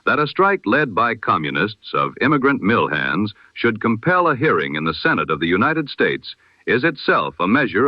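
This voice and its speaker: Deep-toned, animated male 1920s radio newsreader. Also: no room sound, highs cut off. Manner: solemn, formal, measured oratorical phrasing. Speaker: news bulletin announcer. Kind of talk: 1920s radio news bulletin, scripted narration.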